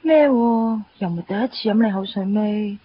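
A woman's voice: medium pitch, moderate pace, a little hiss or hum, lightly acted. An actress playing a confident, teasing young female graduate in a radio drama. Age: young adult. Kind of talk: Cantonese radio drama acting. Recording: dull radio drama recording with music.

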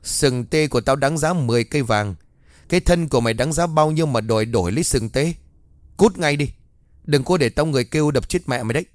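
A man's voice: mid-range voice, lively intonation, moderate pace, no room sound, natural voice. A man voicing an arrogant, greedy rich old man who talks down with scorn. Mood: furious. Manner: scornful, shouting.

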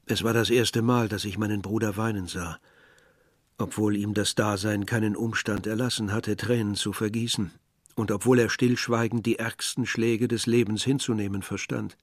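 A man's voice: lowish voice, steady tone, moderate pace, no room sound, studio quality, natural voice. An actor playing a reflective village man recounting wartime memories in literary radio drama narration. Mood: sorrowful, reflective. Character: reflective male villager recalling war and his brother. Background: music.

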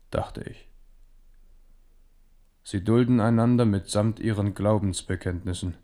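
A man's voice: low voice, gravelly, warm tone. An actor in a German radio drama playing a proud, impulsive, war-weary king.

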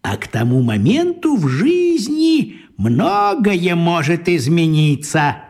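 An actor playing a small, crafty old wizard in a children's radio play, talking in a coaxing, folksy way with a husky voice. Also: male voice, a little high, huge pitch swings, slow, darkish tone, exaggerated voice. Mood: sly, coaxing.

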